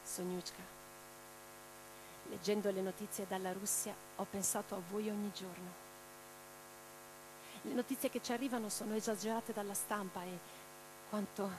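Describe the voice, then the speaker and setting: A woman playing an imprisoned, defiant revolutionary in a radio theatre monologue, reading her letters with theatrical, deliberate phrasing. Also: medium pitch, natural voice. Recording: clearly noisy track with mains hum.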